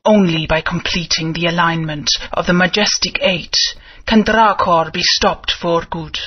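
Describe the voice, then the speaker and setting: An actor playing an ancient godlike spirit, speaking in slow solemn proclamations. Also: male voice, a little high, steady tone, slightly rough. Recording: dull game audio with long reverb.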